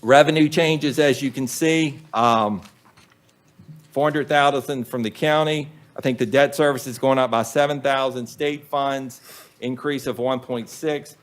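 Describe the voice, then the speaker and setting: A man, a school superintendent, presenting budget figures to a county board in a plain, measured way with frequent ums. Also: medium pitch, fairly even intonation. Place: public meeting room.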